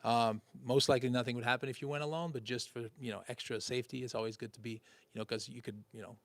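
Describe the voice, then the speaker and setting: A man's voice: medium pitch, steady tone, fast talker, fairly bright tone, dry recording. A man co-hosting a casual question-and-answer stream, reading viewer questions and giving easygoing advice. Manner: easygoing, hedging with "you know". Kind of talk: casual Q&A livestream.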